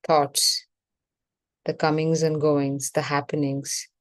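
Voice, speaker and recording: A woman leading a guided meditation as facilitator, speaking in measured short phrases with pauses between them. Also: sits low, flat delivery, no room sound.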